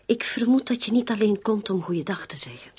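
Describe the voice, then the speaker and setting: A worldly, streetwise hostess in a radio drama. Her husky, breathy voice swings animatedly in pitch, wry and probing, always a little on guard. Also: animated, brisk, a little mellow. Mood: wary, wry. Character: wary, shrewd, worldly hostess and reluctant accomplice. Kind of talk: Dutch-language radio play acting.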